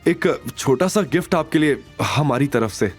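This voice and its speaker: A man's voice: a little high, animated, measured pace, husky, faint music behind, voice pushed up. A man voicing a nervous, servile manager in an audio drama, deferential and pleading, prone to stammering. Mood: eager, servile. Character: nervous, servile male property manager, eager to please. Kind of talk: Hindi audio drama dialogue.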